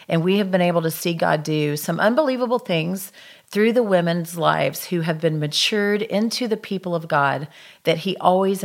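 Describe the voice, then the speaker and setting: A woman hosting a church podcast, enthusiastic and effusive as she introduces her guests. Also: on the low side. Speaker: podcast host. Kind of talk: podcast conversation.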